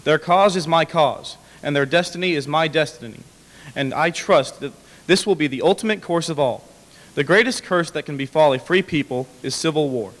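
A man's voice: fairly high pitch, normal speed, fairly bright tone. A young man earnestly giving a formal, oratorical reading of a historical speech at a podium microphone. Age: young adult. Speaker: reader at a staged dramatic reading. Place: large indoor hall with small audience. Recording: echoey hall, podium microphone.

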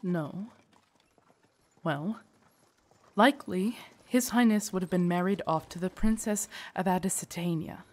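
A woman playing a loyal, protective female knight in an audio drama, formal, deferential and earnest, with a husky voice. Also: on the low side, a little slow, long pauses, a little mellow. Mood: earnest, comforting. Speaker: voice actor in audio roleplay. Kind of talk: acted immersive audio drama monologue.

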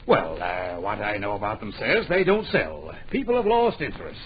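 An absent-minded, excitable publisher in an old radio play. He hems, mutters and says things twice, with a very lively rise and fall. The recording is dull and faintly noisy. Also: fairly low pitch, moderate pace. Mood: brisk, blustering. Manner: muttering, hemming, repeating his phrases. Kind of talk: old radio drama acting.

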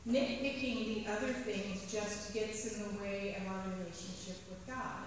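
A middle-aged woman priest reading a sermon from the pulpit, measured, slowing down to stress key phrases. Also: medium pitch, fairly even intonation, slight background noise. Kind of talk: read sermon. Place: large church sanctuary. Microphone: pulpit mic at a distance. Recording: echoey church hall from far off.

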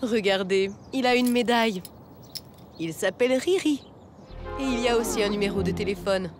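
A woman voicing a sensible mother rabbit in a children's cartoon, speaking calmly and explaining things gently. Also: medium pitch, moderate pace, lightly acted. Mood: surprised, sensible. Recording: music under the dialogue.

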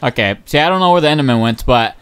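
Young male game commentator, smooth voice, hoarse, rambling and excitable, many ums. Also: medium pitch, quick pace. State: voice going hoarse. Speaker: gaming channel host. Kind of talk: casual gameplay commentary.

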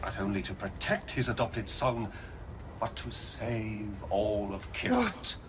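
A man's voice: on the low side, sing-song, slow, a crowd in the background. An actor playing a vain, pompous tyrant king with a theatrical manner in a video game. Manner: flamboyant, pompous, self-dramatizing. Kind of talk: video game character acting. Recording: clearly noisy game-effects background.

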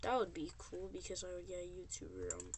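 A gamer giving rambling, informal and excitable gameplay commentary as host of a gaming video. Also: medium pitch, quick pace. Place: indoors at a computer. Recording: background noise with keyboard clicks. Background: keyboard typing and mouse clicks.

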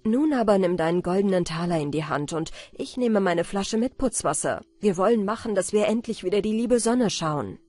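A woman's voice: medium pitch, expressive, moderate pace, hardly any pauses, a little mellow, dry recording, natural voice. A woman voicing a humble little old enchanted water man in a fairy tale, earnest and plain. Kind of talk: storybook reading.

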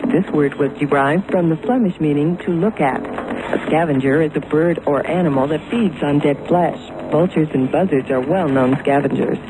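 A man reading a scripted word-of-the-day segment on military radio, in an even manner, heard through a noisy shortwave recording. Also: a little high, normal speed. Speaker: radio announcer.

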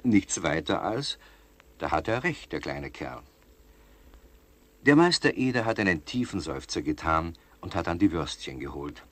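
A man playing the genial narrator of a children's tale, telling it in a wry, expressive scripted style. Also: sits low, measured pace, full character voice.

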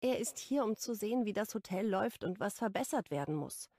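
A narrator plays a stressed young woman in a romance audiobook. She is candid and a little defensive, with wry edges. Her voice has noticeable vocal fry and sits very dry. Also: mid-range voice, expressive, fairly quick, warm tone, no echo. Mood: troubled, candid.

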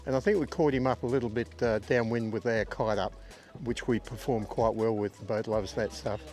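Brisk skipper. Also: male voice, on the low side, fairly even intonation, a little mellow. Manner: brisk, positive. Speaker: yacht skipper. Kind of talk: post-race interview.

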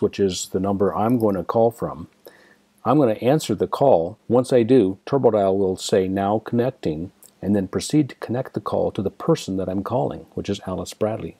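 An American man narrating a step-by-step software demonstration in a methodical, explanatory way. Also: lowish voice, slightly rough, dry recording. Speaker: software tutorial presenter.

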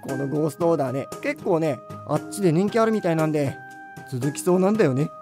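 An actor playing an easygoing, lax veteran sound director, offhand and casual, in a voice drama. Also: male voice, a little high, animated, fast, music in the background. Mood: easygoing, businesslike. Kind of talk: voice drama acting.

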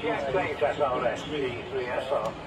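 A man's voice: mid-range voice, steady tone, moderate pace, over loud music. An older man heard through a radio receiver, chatting casually on amateur radio in a friendly, rambling, hesitant way. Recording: distant radio speaker in very noisy room.

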